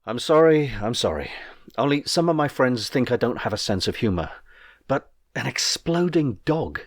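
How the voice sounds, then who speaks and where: A troubled man, played by an actor, glum and in denial. He speaks haltingly and quietly at a medium pitch. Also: big pitch swings, dry recording, studio quality. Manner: halting, subdued.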